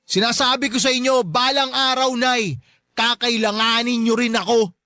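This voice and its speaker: A man's voice: extremely high pitch, fairly even intonation, moderate pace, bright, forceful tone, no room sound, studio quality. An actor playing a hurt, resentful adult son who pleads and protests to his mother in a Tagalog radio drama. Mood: hurt, defiant.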